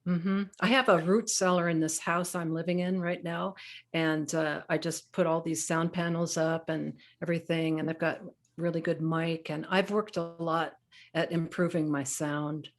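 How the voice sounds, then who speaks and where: Chatty woman author, low-pitched. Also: steady tone, moderate pace. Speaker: author guest. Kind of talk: casual panel chat.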